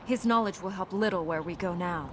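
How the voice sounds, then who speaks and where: An actress playing a solemn, resolute young princess in a video game, speaking in an earnest, formal way. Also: female voice, on the low side, brisk, natural voice. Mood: solemn, determined. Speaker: video game companion character. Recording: game audio over clear ambient noise.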